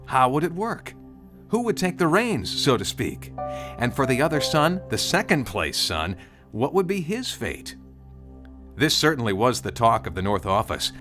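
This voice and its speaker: Animated male storyteller over music. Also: medium pitch, normal speed. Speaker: storyteller, narrator. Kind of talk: scripted dramatic storybook narration. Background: music under the narration.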